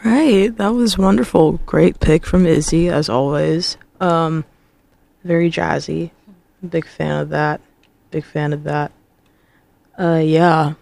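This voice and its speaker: A man hosting a radio music show, hoarse from being sick, talking in a loose, halting way between songs. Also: fairly high pitch, a little mellow. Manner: loose, halting, with filler words. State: hoarse from illness. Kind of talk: casual radio DJ patter.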